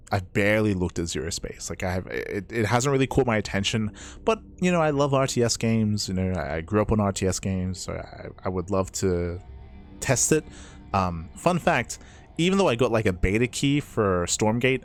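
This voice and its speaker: Caster, male, lowish voice, hoarse and raspy, relaxed rambling sign-off chat. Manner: rambling, repeats himself, laughs often. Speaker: esports caster. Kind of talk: casual stream sign-off chat.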